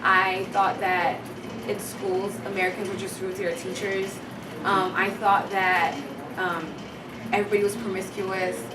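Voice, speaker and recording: A young woman from Haiti talks casually as an interviewee in a mid-pitched voice. The recording is echoey and very noisy, as if the mic is some way off. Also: flat delivery, measured pace, far from the mic.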